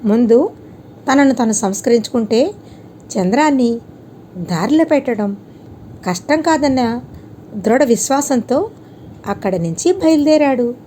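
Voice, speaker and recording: Female storyteller for a moral-tale audiobook: fairly high, soft-toned voice, measured and expressive, faint noise behind. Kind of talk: scripted narration of a moral story.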